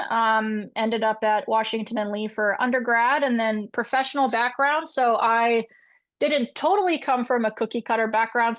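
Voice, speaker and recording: A woman's voice: a little high, dry recording. A young woman from Finland, an MBA student, introducing herself conversationally on a video call. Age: young adult. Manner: conversational, uses "kind of" often. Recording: dull lo-fi call audio.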